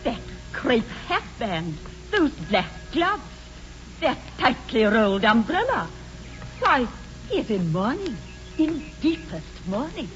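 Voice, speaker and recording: An actress playing a prim, middle-aged English spinster governess in a 1940s radio comedy, speaking precisely and sententiously. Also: female voice, fairly high pitch, very animated, takes it slowly, faint music behind. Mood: prim disapproval. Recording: dull, noisy 1940s radio broadcast.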